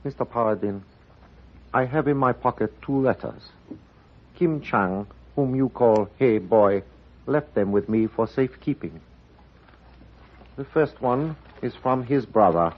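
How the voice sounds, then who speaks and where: Husky, dignified, formal older uncle. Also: male voice, mid-range voice, animated. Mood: grave, courteous. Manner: formal, careful, deliberate, without contractions. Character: grave, dignified older Chinese uncle of a missing man. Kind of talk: 1950s radio drama acting. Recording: muffled vintage radio recording.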